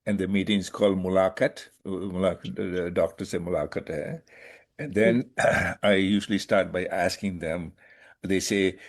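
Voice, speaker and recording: Man, very low voice, measured, clears throat, video call. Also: a little mellow. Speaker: student and doctor. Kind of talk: class presentation.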